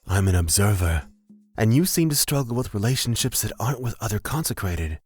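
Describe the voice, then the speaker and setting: A man's voice: lowish voice, lively intonation, brisk, slightly rough, faint music behind. A man playing a humble, laid-back elf wanderer in a fantasy audio drama, reflective and probing. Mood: frank, challenging.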